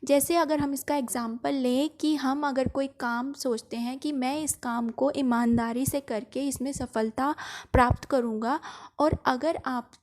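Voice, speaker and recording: A woman's voice: high-pitched, steady tone, unhurried, a little mellow. A woman with an Indian accent explaining a school lesson step by step, in a teacher's didactic manner. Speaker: home science teacher.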